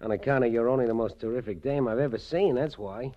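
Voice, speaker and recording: An actor playing a tough, hot-headed racketeer in a 1940s radio drama, talking in blunt, streetwise slang. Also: male voice, mid-range voice, fast talker, close to an everyday voice. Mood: smitten, eager. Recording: muffled 1940s radio broadcast recording.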